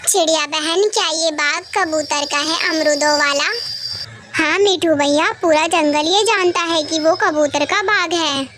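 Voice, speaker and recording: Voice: high voice, fairly even intonation, measured pace, pushed and bright, a little hiss or hum. A performer voicing a small cartoon bird in a children's story, with a simple, emphatic delivery. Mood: earnest. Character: high-pitched cartoon birds: parrot, crow wife, sparrow. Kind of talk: Hindi animated children's story dialogue.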